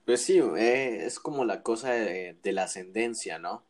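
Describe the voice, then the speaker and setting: A man's voice: fairly low pitch, a little slow, darkish tone. A man co-hosting a casual wrestling chat, giving opinions with frequent restarts and tag questions. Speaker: wrestling podcast co-host.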